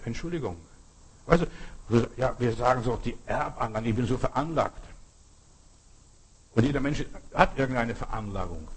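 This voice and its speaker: Southern german guy giving a sermon, higher husky voice, folksy, keeps checking you get it. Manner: colloquial, direct address with frequent check-in questions and repetition for emphasis. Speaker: preacher. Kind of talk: informal sermon to a congregation.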